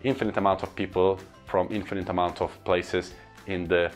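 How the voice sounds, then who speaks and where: A man with a Turkish accent speaks to camera in an earnest, upbeat way, stacking up lists for emphasis. His voice sits very low. Faint music plays quietly beneath him. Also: little pitch movement, a little fast. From Turkey. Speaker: corporate trainer and speaker. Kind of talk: casual talking-to-camera vlog.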